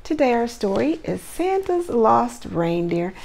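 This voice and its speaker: Animated woman reading aloud to preschoolers. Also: a little high, measured pace, vocal fry. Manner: simple encouraging questions to young children. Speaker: preschool teacher and host. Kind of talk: animated children's read-aloud storytelling.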